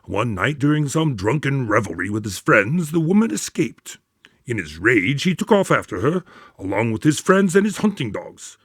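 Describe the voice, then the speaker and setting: An actor playing a troubled, earnest country doctor in a radio drama, explaining things in a formal storytelling manner. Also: male voice, mid-range voice, very animated, moderate pace, slightly rough, no echo, studio quality. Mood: grave storytelling. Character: earnest, troubled male country doctor recounting strange death. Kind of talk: modern radio drama acting.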